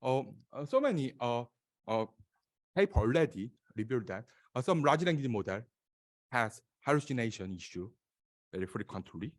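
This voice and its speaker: A man from South Korea with a Korean accent and Korean as his first language, presenting slides at a conference in an auditorium with many halting pauses. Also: medium pitch, animated. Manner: halting, many filler sounds and restarts. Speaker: conference speaker.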